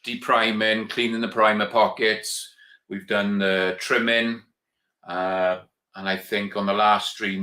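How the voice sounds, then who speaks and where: A man's voice: on the low side, sharp tone, echoey room. A Welsh man hosting a casual live stream, chatting and rambling to viewers he calls guys. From Wales. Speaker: channel host.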